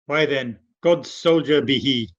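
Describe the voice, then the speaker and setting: A man's voice: mid-range voice, measured pace, natural voice. An amateur actor playing a stoic older warrior lord and bereaved father in a Shakespeare radio play, restrained in delivery. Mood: stoic grief. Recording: dull, lo-fi video call.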